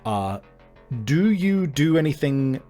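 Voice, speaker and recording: A man's voice: a little high, big pitch swings, slow, dark tone, soft background music. A man narrating a tabletop game as game master, casually improvising vivid descriptions and swearing now and then.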